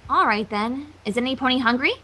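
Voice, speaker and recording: A woman's voice: high-pitched, fairly quick, sharp tone, slight background noise. A woman voicing a prim, motherly young unicorn pony with a politely scolding manner in a fan fiction reading. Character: motherly young adult female unicorn pony, prim, embarrassed, mock-villainous.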